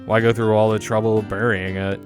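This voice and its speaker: A man's voice: lowish voice, little pitch movement, fairly quick, music in the background, lightly acted. A man voicing a reserved, bookish teenage schoolgirl from a visual novel, dry and reluctant.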